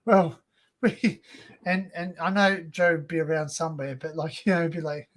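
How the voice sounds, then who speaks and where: An Australian man hosting a casual livestream chat, rambling and joking in an excitable way. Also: on the high side, normal speed. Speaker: livestream host.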